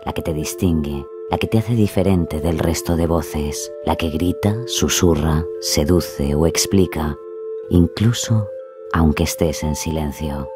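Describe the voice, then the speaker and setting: A man hosting and narrating a podcast with scripted, atmospheric, measured delivery, addressing the listener intimately and dramatically. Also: very deep voice. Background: music.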